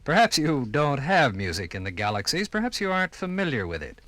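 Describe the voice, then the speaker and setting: A man narrating and explaining as a guide in a scripted 1950s radio program, with deliberate phrasing. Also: on the high side, sing-song, fairly bright tone, dry recording.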